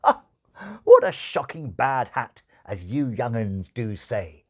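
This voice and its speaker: A man's voice: medium pitch, big pitch swings, takes it slowly, voice pushed up. A man voicing a genteel, fussy, old-fashioned male angel in an audiobook, with a prim, fluttery, theatrical delivery. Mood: hammy showmanship.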